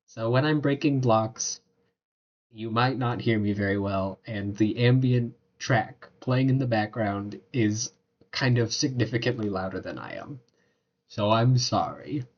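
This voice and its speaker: Sick, raspy-voiced man with a muffled mic. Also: on the low side, expressive, unhurried. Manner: hesitant, lots of uh and um fillers. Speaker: gaming YouTuber. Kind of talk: casual apology to viewers before a video.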